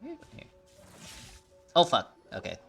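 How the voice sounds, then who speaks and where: A woman's voice: takes it slowly, music in the background. A woman giving casual, sarcastic gameplay commentary with frequent swearing. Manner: swearing, sarcastic, laughing asides. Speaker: gameplay streamer.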